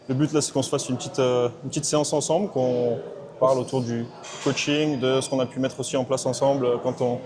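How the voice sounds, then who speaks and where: A man hosting a casual vlog chat in a large gym, upbeat and joking with quick interjections. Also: mid-range voice, noisy background. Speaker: host, business coach.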